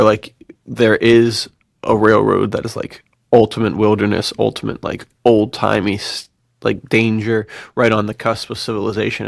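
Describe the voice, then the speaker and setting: Creaky-voiced man chatting casually, close and dry. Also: lowish voice, no echo. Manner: slangy, excitable, agreeable. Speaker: podcast co-host. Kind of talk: casual enthusiastic chat.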